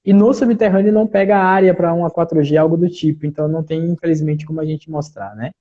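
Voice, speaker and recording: A man with a mix of Bahian and southern Gaúcho accents, talking as a winemaker guest in a relaxed live interview, often tagging "né". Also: fairly high pitch, quick pace, lo-fi sound. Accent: mixed Bahian and Gaúcho Brazilian Portuguese. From Salvador, Bahia, Brazil. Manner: relaxed, talkative, frequent "né" tags.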